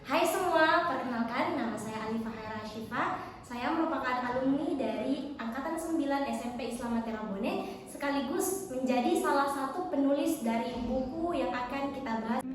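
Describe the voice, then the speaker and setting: Young woman, high voice in heavy echo. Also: moderate pace. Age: teens or young adult. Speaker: podcast guest, student book co-author. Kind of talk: explanatory interview answers. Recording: very echoey, reverberant hall.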